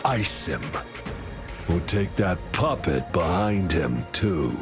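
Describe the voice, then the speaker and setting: A cold, curt gang boss. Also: male voice, low-pitched, big pitch swings, slow, over music, full character voice. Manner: curt, commanding and impatient. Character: cold ruthless gang boss, adult man. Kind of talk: video game voice acting.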